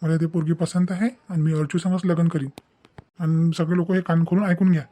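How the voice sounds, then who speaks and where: A man's voice: on the high side, flat delivery, a little fast, warm tone, lightly acted. A man playing an indignant, principled young son in a cartoon, lecturing emphatically in a Vidarbha Maharashtrian dialect. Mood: defiant. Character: indignant, principled young adult son defying his mother. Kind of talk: comic family drama cartoon dubbing.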